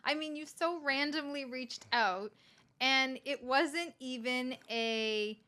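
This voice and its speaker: A woman in her forties, raised in Canada with a Calabrese dialect as her first language, chatting as a guest chef in an animated, laughing way. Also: high voice, slow. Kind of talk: casual podcast interview. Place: hotel room.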